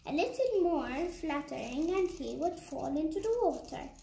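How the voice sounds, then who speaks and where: A woman's voice: high voice, expressive, normal speed, creaky, warm tone. A woman narrating a children's puppet story with careful read-aloud delivery in a room at home. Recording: echoey room with background noise.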